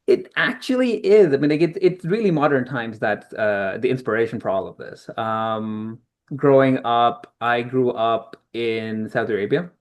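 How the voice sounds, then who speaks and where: A man's voice: medium pitch, moderate pace. A middle-aged man from Pakistan, an author being interviewed, explaining things thoughtfully with frequent ums and restarts. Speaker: author guest. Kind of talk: conversational interview answers.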